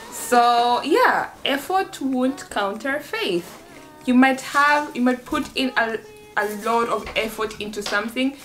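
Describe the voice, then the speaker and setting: Young woman vlog host, bright higher voice, playful, bedroom recording. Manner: playful, laughs often, teaching Swahili phrases. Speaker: vlogger host. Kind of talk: casual chat. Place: bedroom, indoors.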